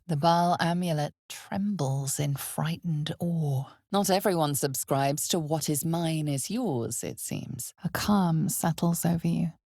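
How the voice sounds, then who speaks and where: Low, slow, ominous fantasy narrator. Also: female voice, vocal fry, a little mellow, no room sound, clean studio sound, heavily acted. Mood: wry, dramatic. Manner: measured, deliberate, theatrical delivery with weighted pauses. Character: omniscient female narrator of a dark fantasy game, solemn and ominous. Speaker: game narrator. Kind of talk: scripted dramatic fantasy game narration.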